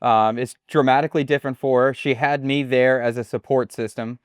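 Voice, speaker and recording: American guy talking about his life abroad, smooth clear voice, dry close sound, kinda halting. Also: mid-range voice, dry recording. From United States. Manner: relaxed, halting, repeats words. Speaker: chef and guest. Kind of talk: casual interview chat.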